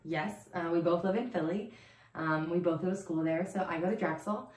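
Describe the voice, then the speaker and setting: A woman's voice: fairly low pitch, brisk, darkish tone. A young woman from New Jersey chatting in a giggly, upbeat way in a bedroom, filmed by a camera across the room. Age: young adult. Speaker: plant vlogger. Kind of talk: casual chat. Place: bedroom, seated on bed. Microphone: far camera. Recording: roomy echo.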